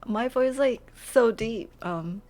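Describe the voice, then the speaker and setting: A woman's voice: fairly high pitch, unhurried, gravelly, darkish tone. A woman chatting casually off script as an amateur dubber, self-conscious and thinking aloud. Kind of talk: casual off-script chat between takes.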